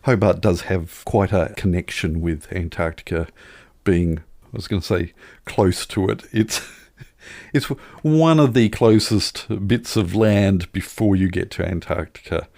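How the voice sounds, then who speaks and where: A man with a low, gravelly voice talks casually as a podcast co-host. He tells everyday anecdotes with wry, wordy phrasing. The sound is dry, free of room echo. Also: a little slow, a little mellow.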